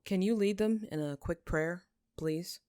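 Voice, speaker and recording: A woman's voice: fairly low pitch, unhurried, dark tone, clean tone, no echo, clean studio sound, close to an everyday voice. Solemn, weary young lord voiced by a woman. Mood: concerned. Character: earnest, remorseful young male lord, dutiful and weary.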